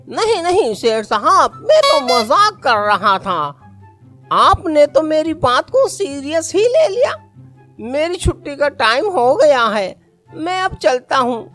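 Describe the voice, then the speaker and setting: Woman voicing a high, lively, sly young slacker. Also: faint music behind. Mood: evasive. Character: sly, cheeky lazy warehouse worker son, male. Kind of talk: cartoon story voice acting.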